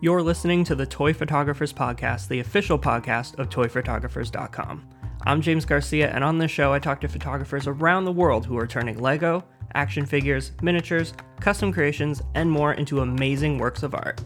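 A man's voice: on the high side, brisk, a bit bright, faint music behind. A man with an American accent hosting a podcast, moving between scripted introductions and casual interview chat. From United States. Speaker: podcast host.

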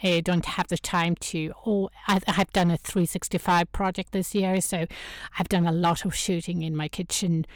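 A woman with a Swedish accent chatting as an interview guest, searching for words in halting English. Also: lowish voice, little pitch movement, no echo, studio quality. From Sweden. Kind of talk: casual interview chat.

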